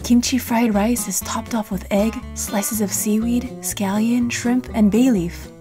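A woman's voice: fairly high pitch, steady tone, measured pace. A woman giving cheerful, punny scripted voice-over narration for a food vlog. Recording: music bed under the voice.

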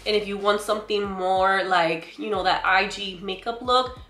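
A young woman with a loud voice, chatting emphatically to the camera from her bedroom as she reviews beauty products and keeps calling her viewers "girl.". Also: mid-range voice, fairly even intonation, moderate pace, reverberant. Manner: chatty and emphatic, often says "girl" and "you guys". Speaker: beauty vlogger. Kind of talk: casual product haul talk to camera. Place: bedroom, indoors.

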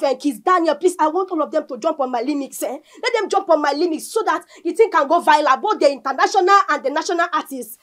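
Comic film role: actress as an excitable young Nigerian rapper wannabe, brash, high-voiced, theatrical. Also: female voice, lightly acted. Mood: pleading. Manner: theatrical, repetitive chanting and pleading. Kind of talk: comic film acting with Pidgin rapping.

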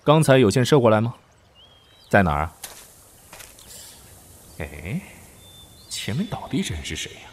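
A man's voice: lowish voice, sing-song, measured pace, long pauses, background noise. A man playing a crude, lecherous, easily panicked nineteen-year-old student in a comic audio drama, exclaiming and stammering melodramatically. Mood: bewildered. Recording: roomy sound with music behind.

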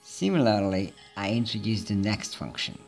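A low-pitched man talks through a coding exercise in a calm, methodical voice-over, explaining each step as he goes. Soft music plays faintly beneath his narration. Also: normal speed, vocal fry. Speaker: programming tutor. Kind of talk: scripted screencast narration. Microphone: voice-over over screen recording.

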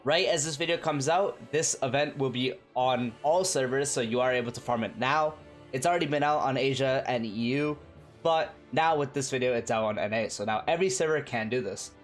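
Fast-talking man with a nasal cold. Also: mid-range voice, faint music behind. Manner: fast, energetic, chatty. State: sick, nasal. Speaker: game guide creator. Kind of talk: casual game guide voice-over.

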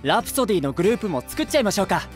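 An easygoing, respectful young vocalist in an anime voice drama. His youthful, casually polite delivery is expressive, over soft background music. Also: sits high, very fast. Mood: admiring. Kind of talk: Japanese anime voice drama acting.

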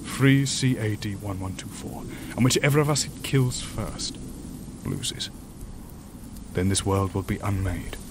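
A man plays an ancient imprisoned creature in a sci-fi horror audio drama. He speaks formally and gravely, with measured courtesy, over noticeable background ambience. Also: lowish voice, background noise, lightly acted. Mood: solemn, urgent. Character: polite, solemn ancient male creature, imprisoned and doom-prophesying.

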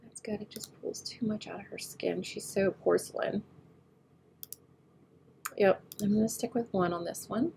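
A woman getting over a head cold, slightly nasal, talking through a photo editing tutorial as she works and often trailing off quietly. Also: mid-range voice, steady tone, measured pace, creaky, long pauses, slight background noise. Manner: thinks aloud while working, often trailing off quietly. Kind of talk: screen-recorded editing tutorial.

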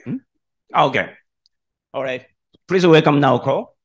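A man, moderating a conference session and introducing the next speaker, halting with fillers. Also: fairly high pitch. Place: conference hall.

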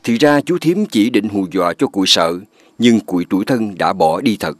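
A man narrating an animated fairy tale from a script. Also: fairly low pitch, moderate pace. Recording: music behind the voice.

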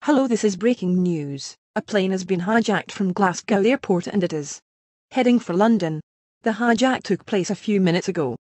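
A woman's voice: mid-range voice, normal speed, darkish tone, no room sound, natural voice. A woman playing a composed anchor reading a scripted breaking-news bulletin.

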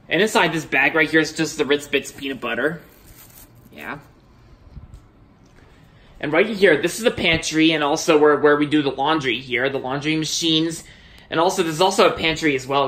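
A young man with a slightly high voice walks through his new house with a phone camera and points things out as he goes. His talk rambles, with words repeated and sentences restarted. It sounds casual and unscripted, recorded on the phone inside the home. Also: fairly bright tone. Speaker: vlogger giving a house tour. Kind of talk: casual house tour narration. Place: indoors in a house, walking from room to room. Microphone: handheld phone camera held by the speaker, off screen.

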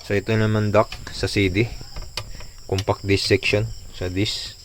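A man's voice: fairly low pitch, level tone, unhurried, slight background noise. A man casually explaining a hands-on repair, informally tossing in short tag questions, like a tutorial vlogger.

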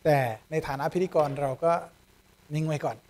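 A man's voice: on the high side, fairly even intonation, racing, a little mellow. A man in his twenties presenting to camera as a show host, close to a studio microphone. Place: small indoor studio set. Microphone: close desk microphone on boom arm.

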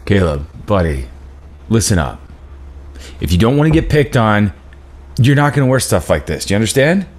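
A man's voice: on the low side, big pitch swings, moderate pace, pauses often. A man in his thirties playing a dismissive, hot-tempered father in a script table read, sarcastic and confrontational. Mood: stern. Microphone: video call.

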